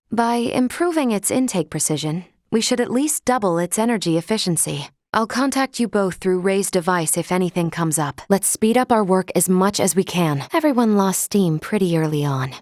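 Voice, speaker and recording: Woman doing a polite analytical android lady from a video game, lowish voice, formal and exact, dry clean sound. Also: darkish tone, no echo, close to an everyday voice. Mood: calm, analytical. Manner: formal, precise, measured, matter-of-fact. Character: calm, logical female android crew member, polite and analytical. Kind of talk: video game character voice acting.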